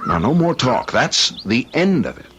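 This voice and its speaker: An actor playing a stubborn, restless American husband in an old radio drama, blunt and colloquial, with an American accent. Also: male voice, mid-range voice, big pitch swings, normal speed, dull audio, background noise, natural voice. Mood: defensive, determined.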